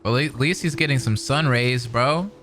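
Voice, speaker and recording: A man's voice: medium pitch, moderate pace, a bit bright, faint music behind. A man streaming a game and making casual, joking reaction comments. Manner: joking, laid-back, says "bro".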